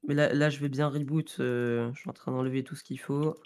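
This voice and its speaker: A man's voice: medium pitch, little pitch movement, fairly quick, a little mellow. A man talking casually on a voice chat, hesitant and apologetic, as a server admin. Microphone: voice chat over computer mic.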